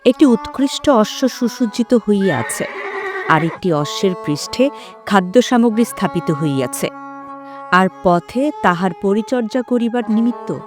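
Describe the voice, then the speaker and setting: A woman narrating an audio drama from a script in formal, measured literary language. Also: medium pitch, lively intonation. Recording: music behind the voice.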